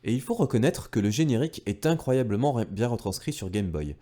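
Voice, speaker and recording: A man's voice: mid-range voice, expressive, fairly quick, mellow. A man narrating a retro gaming video from a script, enthusiastic and speaking directly to viewers with casual asides. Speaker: video creator and host.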